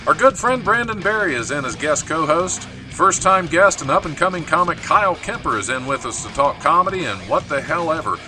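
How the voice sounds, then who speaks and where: A man reading a scripted radio show intro in a brisk announcer delivery. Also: a little high, lively intonation, distant mic, music in the background.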